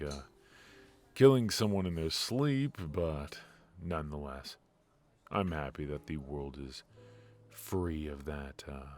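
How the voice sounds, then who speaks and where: Man playing a very deep, slow-talking mafia boss. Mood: grim, confessional. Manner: measured, weary, confiding, with heavy pauses. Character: brooding, ruthless male mafia boss with a hidden purpose. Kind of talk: intimate ASMR roleplay, noir audio drama.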